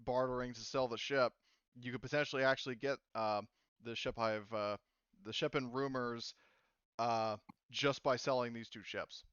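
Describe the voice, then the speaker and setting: A man in the game master's seat, patient and helpful, his explanations often trailing off quietly at the end. His voice sounds smooth and clean, but the dry, dull lo-fi mic gives it a flat, muffled sound. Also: medium pitch, moderate pace, no echo. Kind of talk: explaining rules in a tabletop game.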